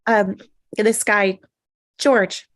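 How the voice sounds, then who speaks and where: A woman's voice: medium pitch, steady tone, very slow. A woman reading the part of a hapless, lovelorn maid of honor at a casual screenplay table read. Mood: deflated, defensive.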